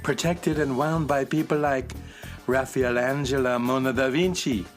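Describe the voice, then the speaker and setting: A man plays a knowing shopkeeper in a children's radio play. He speaks in a middling register with a measured, mysterious storyteller's weight, over faint music. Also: medium pitch, steady tone, natural voice. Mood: knowing, wistful.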